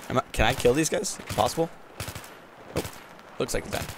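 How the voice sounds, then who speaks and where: A man's voice: medium pitch, a little fast. A young American man giving casual, slangy gameplay commentary, exclaiming and muttering to himself as he plays. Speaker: gamer recording a playthrough. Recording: gunfire and game noise behind voice. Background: video game gunfire and explosions.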